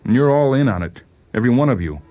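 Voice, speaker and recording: A man playing an agitated, paranoid patient in a dramatized story, insistent, halting and repeating himself. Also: medium pitch, lively intonation, dark tone, lightly acted. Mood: agitated, accusing. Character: agitated paranoid male patient convinced the world conspires against him. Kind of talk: dramatized short story reading.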